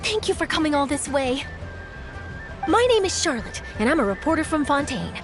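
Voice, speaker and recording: Casting: perky game reporter, young female, high animated voice, courteous, music underneath. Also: moderate pace. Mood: eager, welcoming. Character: eager, polite, bubbly young woman news reporter. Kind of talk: video game voice acting. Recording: music behind the voice.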